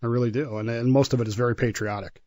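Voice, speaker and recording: An American man gives conversational history commentary on the radio. His voice sits at a middling pitch, and he explains in a measured, halting way with repeated words. The sound is dry and close. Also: steady tone, a little mellow, no room sound.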